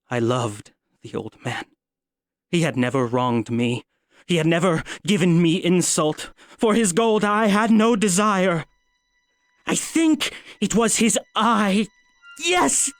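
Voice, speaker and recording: A man playing a nervous, mad murderer who insists he is sane, narrating a gothic horror audio drama with intense, halting theatrical delivery. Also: medium pitch. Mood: brooding, then agitated.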